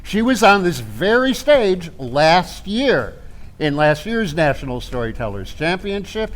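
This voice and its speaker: An actor playing a showy master of ceremonies, announcing contestants on stage at a storytelling contest. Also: male voice, sits high, sing-song, measured pace. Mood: showy, welcoming. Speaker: contest host. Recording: noisy live hall with crowd.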